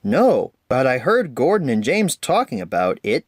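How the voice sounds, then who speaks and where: A man's voice: medium pitch, wildly swooping pitch, normal speed. A man voicing a mild, polite young male engine in a children's storybook. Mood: matter-of-fact.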